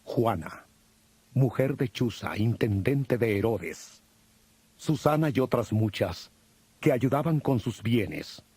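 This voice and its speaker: A man narrating a dramatized audio Bible in a solemn, measured storytelling manner. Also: medium pitch, lively intonation, darkish tone, husky, no room sound. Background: music.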